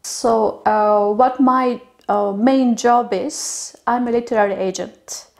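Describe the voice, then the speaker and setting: A young woman from Bulgaria who grew up in Turkey, answering interview questions slowly with many fillers and restarts. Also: a little high, steady tone, gravelly. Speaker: interviewee, literary agent.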